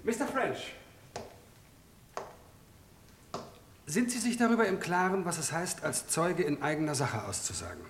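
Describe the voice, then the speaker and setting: In a reverberant hall of a vintage radio play, a relentless prosecutor. His slightly high voice drives through formal, accusing questions at a brisk clip, every word sharply articulated. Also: expressive, fairly bright tone, slight background noise. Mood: formal, probing. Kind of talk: old German radio crime drama acting.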